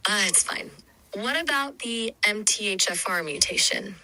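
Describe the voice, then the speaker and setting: A woman's voice in an AI chat, calmly summarizing what she hears and gently asking follow-up questions. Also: medium pitch, normal speed. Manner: calm, summarizing, gently probing. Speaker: AI chat partner. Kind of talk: conversational questioning.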